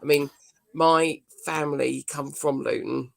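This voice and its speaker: A woman's voice: low voice, steady tone, unhurried, creaky. A middle-aged British woman chatting casually as a co-host on a video call. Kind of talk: casual chat. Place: indoor room, video call.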